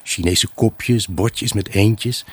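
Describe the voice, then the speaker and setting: An actor playing a wistful, evasive husband left alone, in a halting, pensive radio drama monologue. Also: male voice, on the low side, a bit bright, breathy edge, no echo, heavily acted. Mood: nostalgic. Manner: halting, pensive, addressing an unseen buyer. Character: wistful, evasive abandoned husband selling his house.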